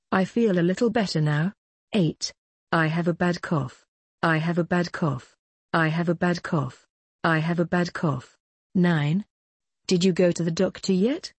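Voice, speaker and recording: Husky low-voiced woman leading language drills. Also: darkish tone, no room sound. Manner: clear, careful delivery, each phrase repeated several times. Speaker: English phrase teacher.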